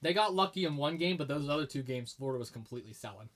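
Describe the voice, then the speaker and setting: A man talks sports fast and with conviction on a casual podcast. His voice sits a little high, and he is starting to lose it. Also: animated, fairly bright tone. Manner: opinionated, emphatic sports takes. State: losing his voice. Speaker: podcast co-host.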